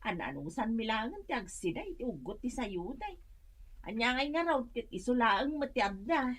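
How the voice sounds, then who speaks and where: An actress playing a poor, plaintive neighbour in a radio drama, complaining and pleading with an Ilocano accent. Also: female voice, a little high, sing-song, normal speed, breathy edge. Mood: wistful, hinting. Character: poor, plaintive neighbour woman hinting for food.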